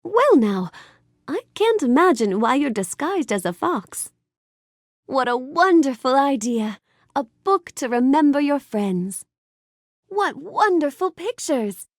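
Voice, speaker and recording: A woman's voice: sits high, very animated, slow pace, no room sound, clean studio sound, heavily acted. A woman voicing a gracious, bookish young storybook heroine in a video game, speaking politely and expressively with careful enunciation. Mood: delighted wonder. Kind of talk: scripted video game character lines.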